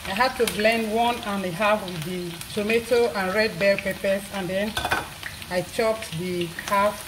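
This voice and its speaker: A hoarse woman hosting a cooking channel, calmly explaining each step as she cooks in her home kitchen. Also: mid-range voice, a little slow. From Nigeria. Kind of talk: casual cooking narration. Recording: noisy kitchen. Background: pots clattering and food frying.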